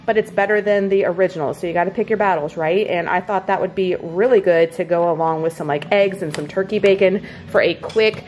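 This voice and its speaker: A woman's voice: on the low side, fairly quick, hardly any pauses, a little mellow, slight background noise. Chatty woman showing groceries. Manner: chatty, upbeat, addresses viewers as y'all. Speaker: vlogger showing a grocery haul. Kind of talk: casual chat. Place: home kitchen, indoors.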